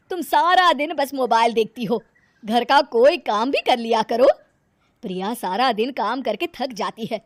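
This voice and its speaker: A woman's voice: on the high side, dry recording, clean studio sound, lightly acted. A woman voicing a stern older mother-in-law in a cartoon moral story, scolding and commanding.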